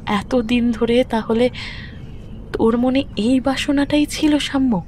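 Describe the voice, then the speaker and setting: A woman with a Kolkata Bengali accent playing a grieving, resolute young widowed mother in an audio story, speaking firmly and deliberately. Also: fairly high pitch, darkish tone, a little hiss or hum. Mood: hurt, accusing. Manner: solemn, deliberate, firm. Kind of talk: romantic audio drama dialogue. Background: background music.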